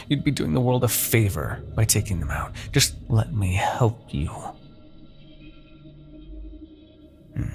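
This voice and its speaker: A man playing a haughty, seductive demon king in a fantasy audio roleplay, persuading with silky condescension, dramatic pauses and a gravelly voice. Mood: smug, coaxing. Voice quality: gravelly, creaky low voice. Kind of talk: fantasy ASMR roleplay monologue.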